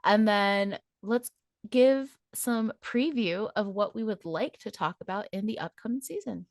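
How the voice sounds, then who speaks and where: A woman's voice: mid-range voice, measured pace, dry recording, clean studio sound. A woman in her late twenties co-hosting a casual podcast, telling stories with lots of laughter and filler words. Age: 20s. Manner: chatty storyteller, laughs often, many "like" fillers. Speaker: podcast co-host.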